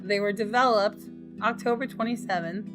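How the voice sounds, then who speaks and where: A woman reads a visual novel aloud for a gaming channel in a medium-pitched voice. She is expressive and adds her own reactions, with guitar music clearly behind her. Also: moderate pace. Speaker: gaming YouTuber.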